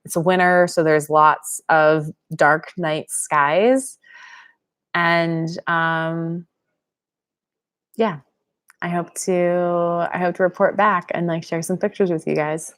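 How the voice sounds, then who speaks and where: A woman's voice: fairly low pitch, fairly even intonation, slow pace, fairly bright tone, clear, resonant tone. A young woman from Pennsylvania hosting a live-stream Q&A, chatting casually and reading viewer comments aloud. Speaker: channel host.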